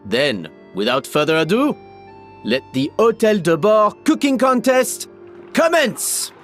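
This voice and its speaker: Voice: mid-range voice, very animated, slow. An actor playing a theatrical, grandiose cooking contest announcer in a video game. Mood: grand. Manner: grandiose, showy. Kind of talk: video game acting, showy event commentary. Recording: clear game music behind. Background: game music.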